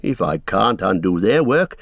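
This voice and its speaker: A man's voice: fairly low pitch, sing-song, unhurried, creaky, no echo, lo-fi sound, heavily acted. A cringing, scheming counsellor to a king in a storybook tale. He fawns and wheedles in a sly whine, always obsequious. The recording is dry and close. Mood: wheedling. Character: cringing, servile, scheming male royal counsellor, sly and pleading.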